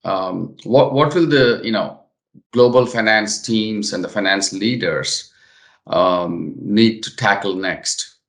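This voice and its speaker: A man hosting a business interview, speaking in halting, chatty phrases, in a roomy echoing recording. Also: fairly low pitch, gravelly. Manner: halting, chatty phrasing with frequent "you know". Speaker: interview host.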